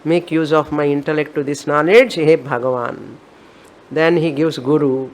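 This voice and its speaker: A woman's voice: low-pitched, fairly even intonation, normal speed. Emphatic Indian woman teaching scripture. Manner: emphatic, repetitive, exhorting. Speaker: spiritual teacher. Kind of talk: scriptural discourse.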